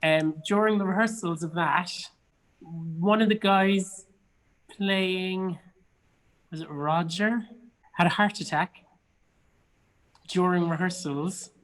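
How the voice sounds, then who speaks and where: A man's voice: high voice, takes it slowly, pauses often, fairly bright tone, rough recording. A man from Cork with an Irish accent, an actor chatting casually and telling anecdotes as an interview guest. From Cork, Ireland.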